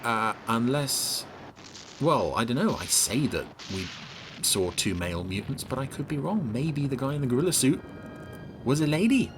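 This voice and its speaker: A man's voice: medium pitch, expressive, moderate pace, noisy background. A man giving sardonic, exasperated scene-by-scene commentary on a television episode as a podcast reviewer. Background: TV episode soundtrack with music.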